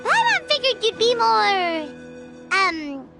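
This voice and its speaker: Game mascot: tiny excitable childlike fairy, extremely high squeaky voice, very animated. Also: female voice, takes it slowly, vocal fry, over loud music, full character voice. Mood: chatty, tentative. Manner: bubbly, refers to self in third person. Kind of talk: video game voice acting.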